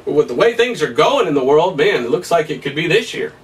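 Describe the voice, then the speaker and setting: A man in his thirties preaching a Bible study at a whiteboard, emphatic and excitable, his voice somewhat hoarse. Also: a little high, brisk, far from the mic. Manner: emphatic, rising to excited peaks, laughs at his own points. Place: small room in front of a whiteboard. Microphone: camera at a distance.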